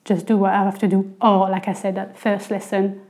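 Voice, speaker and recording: A woman with a French accent talks casually in English, from northern France. She chats freely, with lots of fillers and restarts, at a middle pitch. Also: level tone, a little fast, darkish tone. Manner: chatty, many fillers and restarts. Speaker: guest, language coordinator. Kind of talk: casual podcast conversation.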